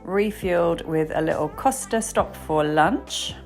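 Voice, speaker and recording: A woman's voice: on the low side, expressive, measured pace, a little mellow, faint music behind. Chatty English woman, stuffy nose. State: stuffy, bunged up. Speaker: vlogger and nail educator. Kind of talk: casual vlog narration.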